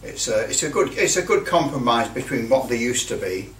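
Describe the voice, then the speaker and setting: A man's voice: mid-range voice, lively intonation, brisk. Man in a roomy, distant recording. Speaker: hobbyist presenter. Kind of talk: casual hands-on workbench commentary. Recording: roomy, distant mic with light noise.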